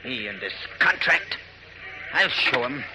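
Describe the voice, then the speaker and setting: A man's voice: mid-range voice, lively intonation, unhurried, natural voice. A man acting a scheming corporate flunky in a 1940s radio drama, insinuating and full of veiled menace. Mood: menacing, resentful. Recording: noisy vintage radio recording.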